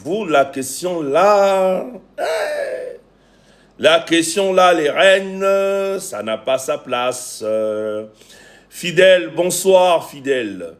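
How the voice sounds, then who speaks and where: A man's voice: a little high, sing-song, very slow. A man with a Cameroonian accent coaching viewers on a livestream, preaching emphatically and repeating phrases for effect. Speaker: relationship coach and live stream host. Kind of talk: preachy livestream talk to viewers, greeting commenters.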